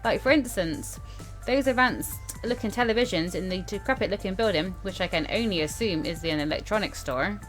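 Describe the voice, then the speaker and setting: A woman's voice: mid-range voice, a little fast, hardly any pauses. A woman hosting a casual let's-play, reading game text aloud with informal asides and stumbles over the written words. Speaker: gaming video host. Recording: music behind the voice.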